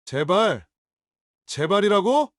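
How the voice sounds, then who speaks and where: An actor playing a hostile, hungover young adult son in an audio drama, sneering and confrontational. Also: male voice, sits high, big pitch swings, slow, fairly bright tone, no room sound, clean studio sound, exaggerated voice. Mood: raging.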